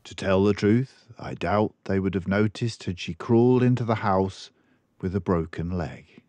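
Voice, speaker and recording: An older man reading a children's book aloud in a deliberate, expressive storytelling manner. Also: deep voice, dry recording. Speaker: storybook reader.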